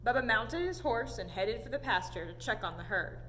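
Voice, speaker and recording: Animated woman reading aloud in an echoey hall. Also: on the high side, a little fast. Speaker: story time reader. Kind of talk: animated storybook read-aloud. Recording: echoey hall, audible background noise.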